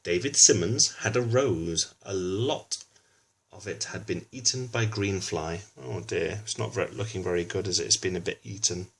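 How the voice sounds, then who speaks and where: A man's voice: sits low, normal speed, gravelly, a bit bright, slightly rough. A man reading a children's book aloud, narrating with chatty asides addressed to young listeners.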